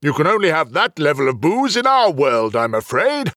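A man voicing a polite, genial otherworldly host in a storybook, courteous, measured and gently apologetic. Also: on the high side, sing-song, dry recording, clean studio sound, pitched above the performer's own voice. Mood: apologetic, businesslike.

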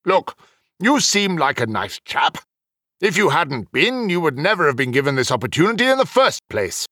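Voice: mid-range voice, sing-song, moderate pace, fairly bright tone, no echo, clean studio sound, voice pushed up. A narrator voicing a stern, officious male celestial official with a formal, admonishing manner, in a fantasy audiobook. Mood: softened, apologetic.

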